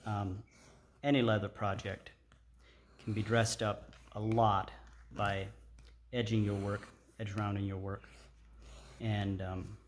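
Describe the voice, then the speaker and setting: A middle-aged man giving a casual, unhurried hands-on tutorial in an informal, explanatory way. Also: lowish voice. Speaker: craft tutorial host. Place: indoor workshop at a workbench. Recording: clear background noise with clicks.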